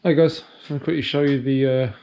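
A man's voice: medium pitch, steady tone, quick pace, dark tone. A man in his forties casually narrating his boat work to camera, thinking aloud with fillers, recorded on an action camera. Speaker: sailing vlogger. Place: on the water by a boat hull, then in a workshop. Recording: faint outdoor background noise.

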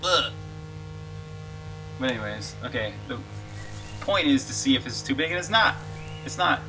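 A man's voice: medium pitch, animated, measured pace, over music, low-quality recording. A man streaming his game development live, talking casually through his code and reacting to chat. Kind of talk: live coding stream, thinking aloud.

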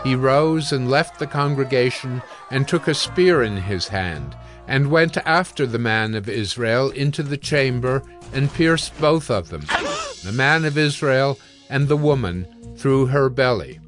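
A man's voice: medium pitch, lively intonation, measured pace, over music. A man giving scripted narration as the narrator of a dramatized scripture reading.

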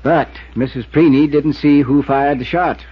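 A man's voice: on the high side, fairly even intonation, normal speed, breathy edge. An actor playing a folksy, dry-witted small-town lawman with a rural American accent and a laconic, hesitant delivery in a 1950s radio drama. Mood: measured, guarded. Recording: dull, noisy 1950s radio recording.

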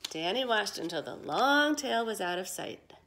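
A middle-aged woman reading a children's picture book aloud with expressive, emphatic delivery at home. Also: medium pitch, normal speed, a little hiss or hum. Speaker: storyteller for children. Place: living room at home.

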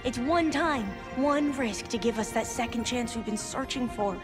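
A woman's voice: a little high, moderate pace, music in the background, lightly acted. An actress playing a bold, hopeful young female hacker, persuasive and teasing, in a game cinematic. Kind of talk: dramatic animated game cinematic acting.

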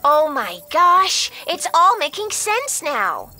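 An excitable young woman agent in a video game. Her voice sits high and jumps around as she eagerly explains what she has worked out. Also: takes it slowly, faint music behind, exaggerated voice. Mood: excited realization.

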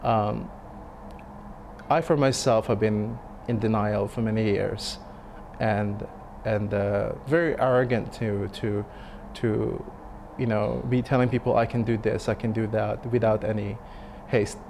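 A man in his thirties, a restaurant chef, speaking in a sit-down interview, thoughtful and halting with many fillers and restarts. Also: lowish voice, steady tone, gravelly, a little mellow, a little hiss or hum. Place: dim restaurant dining room. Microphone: lapel mic.

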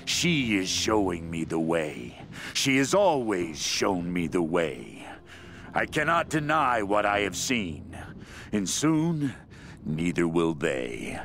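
Slow, gravelly, fanatical cult leader. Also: male voice, fairly low pitch, a bit bright, full character voice. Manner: slow, brooding, menacing, intense. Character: fanatical male cult leader, madman obsessed with a queen. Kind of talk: dramatic diary monologue in a video game.

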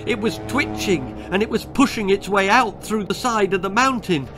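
A man's voice: sits high, normal speed. An older man reading a grisly comic children's story in a theatrical, suspenseful manner. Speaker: author reading his own story. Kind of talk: dramatic children's horror-comedy storytelling. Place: book-lined room indoors. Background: music.

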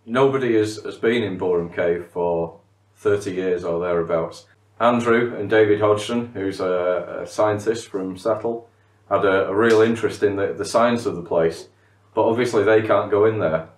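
A middle-aged cave diver talks through an interview in a lowish voice, stumbling over the start of phrases. He sits a little away from the mic in a living room that rings with echo. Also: normal speed. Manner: repeats words when starting phrases. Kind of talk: documentary interview. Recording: roomy, from a distance.

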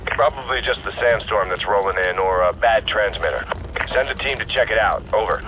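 An enemy radio operator in a military game. His deep voice comes through a noisy radio filter, brisk and by the book. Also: pushed and bright. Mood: businesslike. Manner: clipped, procedural.

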